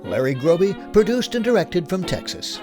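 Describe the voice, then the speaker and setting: A man's voice: sits high, big pitch swings, normal speed, over music. A man presenting an audio drama's closing credits as a scripted outro, speaking to listeners directly. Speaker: presenter reading end credits.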